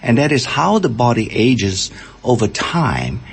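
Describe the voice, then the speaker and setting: An older man from Malaysia, a scientist and interview guest, explaining his points with halting starts. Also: medium pitch, big pitch swings, vocal fry, a little hiss or hum. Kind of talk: radio interview answers.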